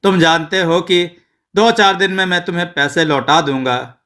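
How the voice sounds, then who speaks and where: A man's voice: high-pitched, normal speed, pushed and bright. A man voicing a needy village neighbour in a storybook tale, polite and imploring. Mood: pleading. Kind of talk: storybook character dialogue.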